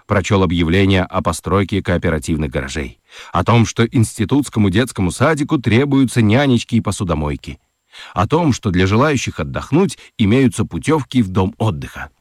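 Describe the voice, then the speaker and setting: Low, lively male storyteller. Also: no room sound, clean studio sound. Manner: expressive storyteller. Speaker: narrator. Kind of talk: scripted storytelling for children's radio.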